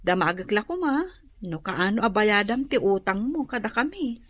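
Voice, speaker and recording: A woman's voice: medium pitch, animated, moderate pace, no room sound, close to an everyday voice. An actress playing a mild, patient woman lender in a radio drama, speaking politely and a little hesitantly.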